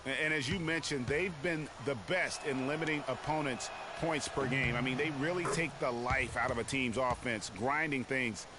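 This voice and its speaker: A man voicing a measured analyst commenting on a basketball video game. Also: mid-range voice, noisy background, natural voice. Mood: analyzing. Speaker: sideline commentator. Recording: game audio with loud music behind.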